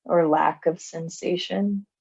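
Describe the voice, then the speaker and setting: A woman leading a guided body scan meditation in a calm, soothing manner, leaving long pauses between phrases. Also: lowish voice, vocal fry, slightly rough, lo-fi sound. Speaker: meditation teacher.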